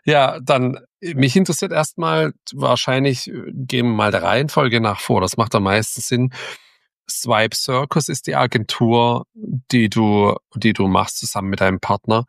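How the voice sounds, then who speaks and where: A man's voice: medium pitch, moderate pace, gravelly, fairly bright tone, no room sound, clean studio sound. A man hosting a podcast, opening the episode and interviewing his guest in a casual, informal way. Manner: chatty, informal, with frequent restarts. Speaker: podcast host.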